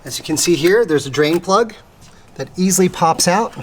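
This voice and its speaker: A man with a slightly high voice walks through a hands-on installation tutorial. He explains each step in plain, casual language. Clear ambient noise sits behind his voice. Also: expressive, moderate pace. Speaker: host of a vehicle installation how-to.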